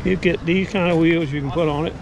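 A man's voice: on the high side, fairly even intonation, fast talker, creaky, crowd noise, low-quality recording. A man giving rambling, self-correcting casual commentary while walking a farmers market with a body-worn camera. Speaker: knife sharpener vlogging at a market. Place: outdoor farmers market parking lot. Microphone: body-worn action camera. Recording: loud outdoor street noise.

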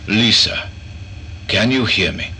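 An actor playing a calm, eccentric male psychiatrist in a horror audio drama, asking measured, coaxing questions. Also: fairly low pitch, a little hiss or hum, heavily acted. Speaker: doctor.

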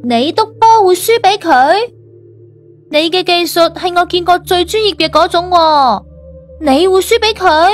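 An actress playing a possessive, sharp-tongued young girlfriend in an audio drama, demanding and indignant. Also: extremely high pitch, expressive, measured pace, faint music behind. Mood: astonished. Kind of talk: Cantonese audio drama acting.

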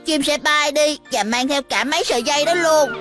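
A woman's voice: high-pitched, a little fast, music in the background, natural voice. A woman voicing an earnest, imaginative small child in a cartoon dub, with a southern Vietnamese accent. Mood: pondering.

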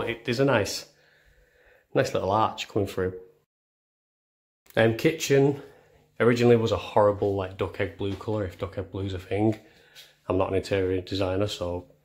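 A young man with a fairly low voice shows viewers around a house. He talks casually and chattily, with self-deprecating jokes, as he walks from room to room filming on a phone. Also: steady tone, normal speed, long pauses, a little mellow. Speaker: vlogger. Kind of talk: casual house tour narration. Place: indoors, walking through rooms of a house. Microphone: handheld phone camera.

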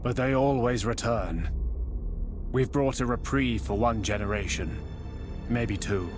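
An actor playing a stern older high admiral in a sci-fi game, speaking in a formal, resolute, grandiose way. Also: male voice, measured pace, music in the background, lightly acted. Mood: grave, measured. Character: commanding stern older male high admiral of a space fleet. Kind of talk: dramatic video game voice acting.

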